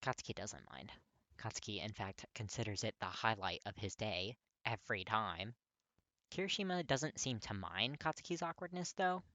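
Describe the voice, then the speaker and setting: A man reading fiction aloud as scripted, expressive story narration. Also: on the low side, normal speed, no room sound. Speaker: audiobook-style fan fiction reader.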